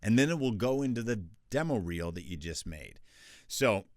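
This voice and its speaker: A man with a deep bass voice, chatting through freelance voiceover tips in a casual, conversational way. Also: sing-song, moderate pace, darkish tone, dry recording, clean studio sound. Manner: chatty, conversational, with restarts and asides. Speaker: voice actor teaching freelancers. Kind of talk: casual tutorial talk.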